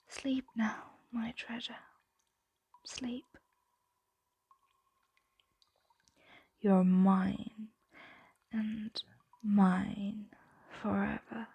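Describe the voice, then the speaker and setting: A woman playing a possessive, doting dragon captor in an ASMR roleplay, whispering slowly and hypnotically close to the microphone. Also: mid-range voice, dry recording, exaggerated voice. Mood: tender, possessive. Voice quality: breathy whisper. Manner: slow, hushed, hypnotic coaxing. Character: possessive, doting female dragon captor soothing their pet.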